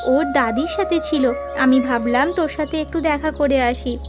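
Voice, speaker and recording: A woman playing a blunt, chatty young married friend in an animated folk tale, with a familiar, forthright manner. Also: sits high, normal speed, natural voice. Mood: friendly. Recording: music behind the voice.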